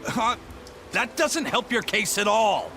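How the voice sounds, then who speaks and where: Blunt, emphatic pirate. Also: male voice, high-pitched, big pitch swings, slow, pushed and bright, a little hiss or hum, heavily acted. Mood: indignant. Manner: matter-of-fact, emphatic, dramatic. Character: blunt, stern, proud adult pirate. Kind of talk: English video game voice acting.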